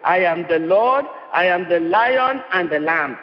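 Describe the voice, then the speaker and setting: A man's voice: sits high, lively intonation, unhurried. A man delivering an impassioned prophetic sermon, repeating his lines with rising force. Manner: impassioned, repetitive declamation. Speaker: preacher. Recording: muffled, reverberant hall.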